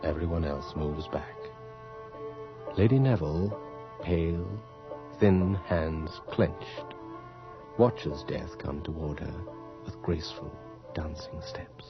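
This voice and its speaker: An actor playing a grave, solemn storyteller, narrating a supernatural radio drama in hushed, lingering phrases. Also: male voice, very deep voice, slow pace, exaggerated voice. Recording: dull old radio drama with music.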